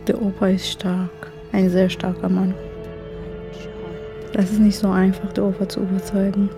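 A woman's voice: mid-range voice, monotone, moderate pace, over music. A woman retelling a film story aloud for a radio play.

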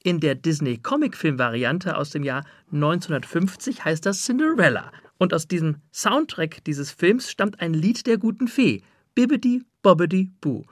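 A man's voice: on the high side, expressive, normal speed, no echo, clean studio sound. A man hosting an interview, asking prepared questions and introducing music. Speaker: interviewer, radio host.

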